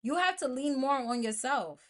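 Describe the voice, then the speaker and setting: A woman's voice: sits high, expressive, moderate pace, fairly bright tone. An American woman hosting an informal motivational podcast solo, speaking colloquially and emphatically, repeating phrases to drive them home. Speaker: podcast host.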